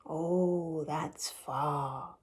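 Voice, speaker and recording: A woman voicing a big, doting adult male hare in a storybook, tender, deliberate and reassuring. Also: sits low, fairly even intonation, a little mellow. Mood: hushed, loving. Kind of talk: storybook character voice.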